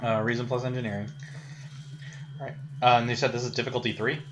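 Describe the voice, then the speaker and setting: A man's voice: mid-range voice, flat delivery, fast talker. A man chatting casually as a player in a tabletop role-playing game, questioning and matter-of-fact. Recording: clear background noise with ambience.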